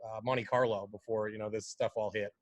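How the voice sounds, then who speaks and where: A man who works as a professional rally driver, talking as a guest in a relaxed way with many "like" and "you know" fillers. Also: on the low side, brisk, lo-fi sound. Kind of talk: casual interview chat.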